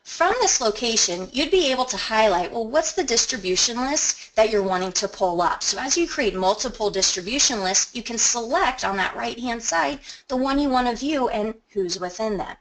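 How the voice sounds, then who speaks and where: A woman presenting a software training webinar, explaining each step in a conversational, instructional way and stressing key words. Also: fairly high pitch, expressive, moderate pace, few pauses, low-quality recording. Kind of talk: instructional screen-share walkthrough.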